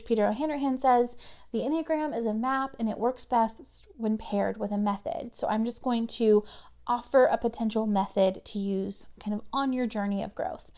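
Chatty woman podcast host, slightly high. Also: darkish tone. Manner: chatty, conversational, many fillers. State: voice getting worn from overuse. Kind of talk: casual podcast monologue.

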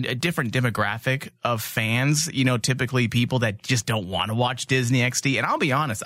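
A man's voice: mid-range voice, fairly bright tone, no echo. Casual, emphatic male podcast co-host, dry sound. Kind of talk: casual fan podcast chat.

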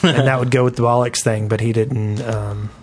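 A man co-hosting a casual gaming podcast, talking in a halting way and often repeating his words as he goes. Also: mid-range voice, steady tone, darkish tone. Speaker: podcast co-host. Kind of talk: casual group chat about gaming.

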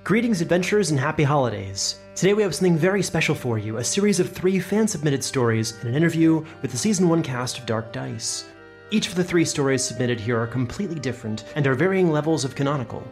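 A man introduces a podcast as its host, reading from a script at a quick clip. His voice is mid-pitched, with faint guitar music underneath. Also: expressive.

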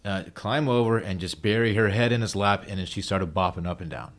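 A man's voice: on the low side, a little fast. A man hosting a casual podcast, telling stories with eager, jokey energy. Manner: animated storyteller, eager and jokey. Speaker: podcast host.

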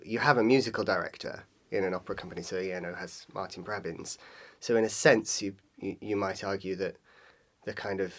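A man with a head cold sounding nasal, a podcast guest in a studio, speaking hesitantly. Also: fairly low pitch. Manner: hesitant, measured. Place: radio studio.

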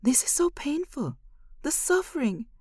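A woman's voice: high voice, sing-song, a little slow, dark tone, dry recording, studio quality, voice pushed up. A woman voicing a frightened, grieving young woman in an anguished, pleading way for an audio story. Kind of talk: dramatic audiobook character voice.

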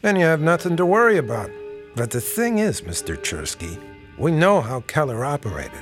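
Dogged, wry police detective. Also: male voice, a little high, big pitch swings, normal speed, music in the background. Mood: accusing. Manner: folksy, probing, casually insinuating. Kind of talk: radio drama acting.

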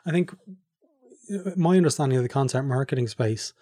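A man's voice: medium pitch, a little fast, warm tone, no room sound, studio quality. A man hosting a casual podcast interview, feeling his way tentatively through his questions.

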